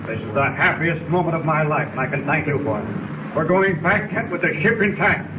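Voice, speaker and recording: An actor playing an earnest, commanding submarine captain in a 1940s radio drama, speaking with urgency and decision. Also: male voice, fairly high pitch, expressive, a little fast, close to an everyday voice. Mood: excited. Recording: very noisy 1940s radio recording.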